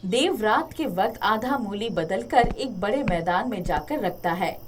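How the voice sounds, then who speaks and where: A woman reads a moral tale as its narrator in a scripted storytelling style. The recording has clear noise, with birds chirping behind her. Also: mid-range voice, lively intonation, a little slow.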